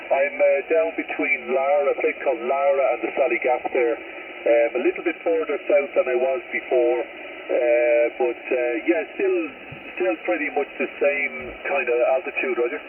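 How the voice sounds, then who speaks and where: A man's voice: medium pitch, steady tone, moderate pace, creaky, far from the mic, narrow, tinny audio. A man with an Irish accent chatting casually as a radio operator over a two-way link. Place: mobile in a vehicle, over CB radio. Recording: noisy, crackly, thin two-way radio transmission.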